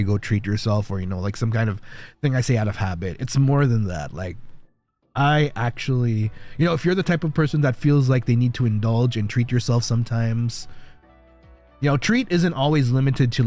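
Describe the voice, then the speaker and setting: A man streaming and chatting casually with his viewers, rambling, reassuring and quick to laugh. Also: medium pitch, darkish tone.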